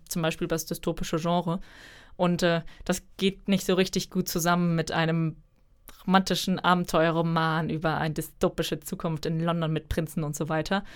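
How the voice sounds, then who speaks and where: A woman's voice: lowish voice, clear, resonant tone. Chatty young German woman author. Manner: chatty, thoughtful, frequent fillers. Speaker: author guest. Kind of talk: interview answers.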